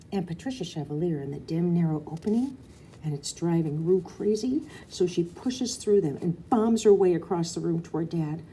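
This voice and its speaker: A woman reading a children's novel aloud, an expressive narrator with dramatic emphasis. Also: sits low, slight background noise. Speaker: audiobook reader. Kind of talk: expressive storybook narration, first person as a girl.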